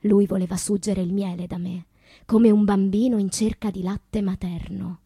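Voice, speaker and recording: An actress playing a tormented, wistful woman poet in a theatrical monologue, dramatic and deliberate, with a breathy, husky voice. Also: mid-range voice, level tone, no room sound, studio quality. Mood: wistful, intense. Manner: dramatic, slow deliberate delivery with hushed passages. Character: tormented woman poet recalling destructive loves, wistful and intense.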